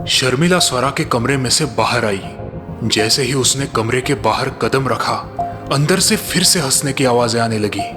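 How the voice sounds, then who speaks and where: A man's voice: medium pitch, normal speed, music in the background. A man narrating scripted moral and horror stories in a dramatic storytelling style.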